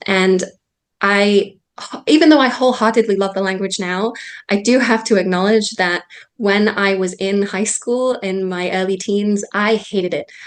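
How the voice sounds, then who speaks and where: Earnest young woman speaker. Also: mid-range voice. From Wales. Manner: earnest, informal fillers. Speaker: conference speaker. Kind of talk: conference talk.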